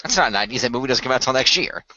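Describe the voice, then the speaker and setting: A man's voice: mid-range voice, expressive, rapid-fire, bright, forceful tone, dry recording, lo-fi sound. A man hosting and narrating an amateur fan radio play in a casual way.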